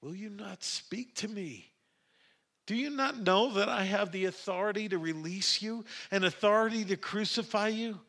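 A man's voice: a little high, animated, measured pace, bright, forceful tone, exaggerated voice. A man voicing a troubled, authoritative Roman governor in a Bible reading, declaiming, questioning and pleading. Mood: urgent, questioning.

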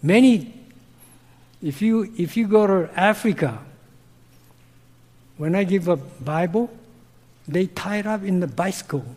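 A man's voice: high-pitched, in a large hall. A man with a Korean accent and broken English, a missionary answering interview questions with personal stories. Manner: broken English, slow and earnest, gets loud when animated. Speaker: missionary guest.

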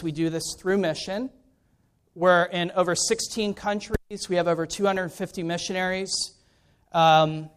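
A man's voice: high voice, smooth. A middle-aged man with an American accent, speaking informally and with self-deprecation as a guest preacher introducing himself and his sermon to a congregation. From Maryland, United States.

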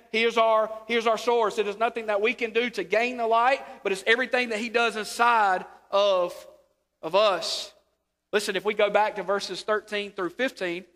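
A man preaching a sermon, sniffly from a sore throat, repeating words and calling for amens. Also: high-pitched. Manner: repeats words, rises to near shouts, calls for amens. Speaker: pastor. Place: church sanctuary. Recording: long hall reverb.

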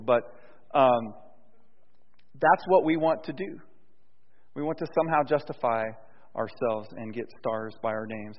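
Man preaching in an echoing hall. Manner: swings from soft and low to loud and emphatic; conversational, with restarts and fillers. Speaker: pastor. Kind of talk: sermon. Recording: dull sound with long hall reverb.

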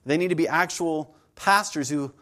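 A man's voice: fairly high pitch, moderate pace, sharp tone. A man, preaching a sermon to his congregation in an earnest, conversational way, often repeating his phrases. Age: 40s. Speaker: pastor.

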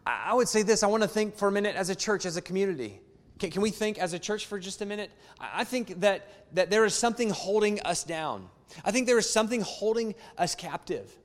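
A man's voice: high voice, lively intonation, quick pace, long reverb tail. A man preaching a sermon to his congregation, emphatic and conversational, repeating key phrases and turning to the crowd with asides. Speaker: pastor. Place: church sanctuary.

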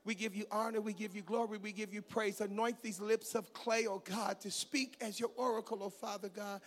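A man in his fifties, a pastor with a raspy voice, preaching a teaching sermon with goofy, joking asides between scripture reading and prayer. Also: sits high, steady tone, fairly quick. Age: 50s.

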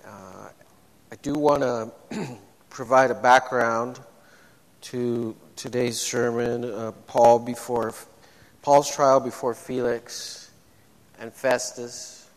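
A low-voiced man delivers a sermon into a microphone, slowly and haltingly, restarting his phrases often. His voice rings with the long reverb of a church hall. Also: steady tone. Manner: halting, many restarts and fillers. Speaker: preacher. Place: church sanctuary.

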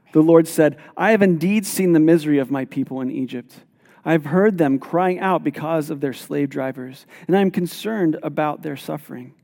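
A man preaching a sermon as pastor, reading scripture aloud and explaining it in an animated, emphatic way. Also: a little high. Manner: animated, self-deprecating, emphatic. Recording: long hall reverb.

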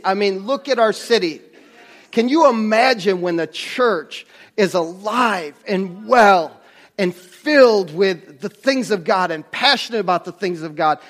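Middle-aged male pastor, higher-pitched voice, earnest off-the-cuff preaching, stop-start delivery. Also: fairly bright tone. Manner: earnest, halting, often restarts and trails off. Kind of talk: conversational sermon. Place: church stage under bright lights.

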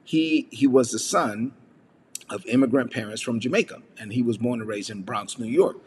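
A man's voice: medium pitch, moderate pace, slightly rough, long reverb tail. A man from the United States giving a casual devotional message, talking conversationally with frequent fillers and rhetorical questions. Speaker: preacher.

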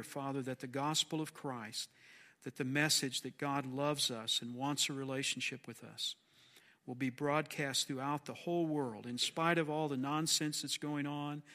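A man with a slightly hoarse voice leading a church class, praying aloud and then chatting casually with the group. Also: mid-range voice, bright, forceful tone. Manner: earnest, folksy, jokes with the class. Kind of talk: spoken prayer and casual class talk.

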